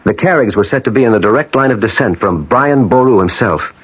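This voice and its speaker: A restless, earnest advertising man in a radio drama. The voice is lowish and rough-edged, with dramatic, strongly varied intonation. It comes through on a muffled old broadcast recording. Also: lively intonation, fairly quick. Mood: intense, brooding. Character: restless, brooding advertising man hunting his family's past. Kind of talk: 1980 radio drama acting.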